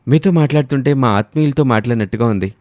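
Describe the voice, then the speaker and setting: A man's voice: mid-range voice, rapid-fire, a little mellow, dry recording, natural voice. A man playing a polite, enthusiastic young city visitor in a moral story. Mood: delighted, earnest.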